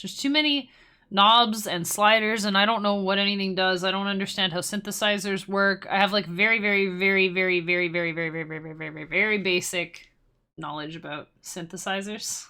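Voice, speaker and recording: Bright-voiced young woman, rambling tutorial chat. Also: medium pitch, clean tone. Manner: rambling, self-deprecating, playful asides. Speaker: music creator teaching on stream. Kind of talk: casual live-stream tutorial chat.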